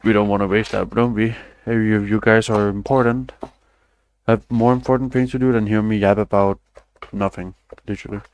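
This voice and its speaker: A man's voice: lowish voice, level tone, normal speed, darkish tone, a little hiss or hum. A young man, chatting loosely on a stream, rambling and swearing casually with self-deprecating asides. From Denmark. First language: Danish.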